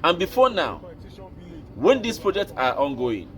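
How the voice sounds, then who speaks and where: A Nigerian man hosting an opinion show, speaking slowly and deliberately, like a preacher. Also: fairly high pitch, sing-song, fairly bright tone. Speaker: commentary host. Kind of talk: opinion commentary.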